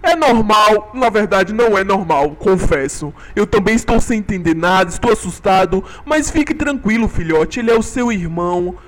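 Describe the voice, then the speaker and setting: A man voicing a gentle, worried father dinosaur who reassures his young hesitantly. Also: sits high, expressive, moderate pace, few pauses, soft background music. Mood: reassuring.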